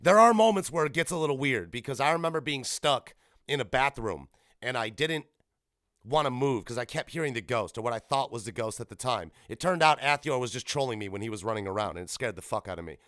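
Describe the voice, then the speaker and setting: A man hosting a podcast, giving casual, sweary gaming commentary with animated, informal storytelling on a close mic. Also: medium pitch, quick pace, dry recording. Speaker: podcast host. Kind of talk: casual gaming news commentary with personal anecdotes.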